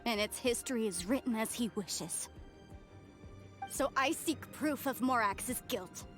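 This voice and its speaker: A woman's voice: a little high, unhurried, fairly bright tone. A woman playing an earnest, devout young woman in a fantasy game, speaking with impassioned emphasis. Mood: bitter, resolute. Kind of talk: dramatic game dialogue. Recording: music behind the voice.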